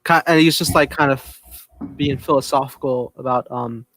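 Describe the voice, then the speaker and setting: A man's voice: medium pitch, steady tone, normal speed, a bit bright. A man co-hosting a podcast, casually recapping an episode. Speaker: podcast co-host.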